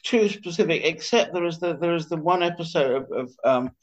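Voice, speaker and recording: Muffled older man, halting podcast guest. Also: fairly high pitch. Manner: tentative, self-correcting, halting. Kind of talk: conversational book interview. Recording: dull, band-limited audio.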